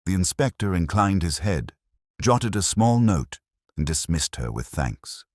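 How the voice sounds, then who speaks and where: A man reading a literary mystery story as narrator, in a measured, dryly wry manner. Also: low-pitched, big pitch swings, dry recording, studio quality.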